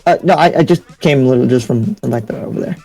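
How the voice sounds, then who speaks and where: A man's voice: mid-range voice, quick pace, creaky, a little mellow, natural voice. A man playing an easygoing, curious demon slayer in an improvised anime game roleplay, casual and hesitant. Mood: sheepish. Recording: music behind.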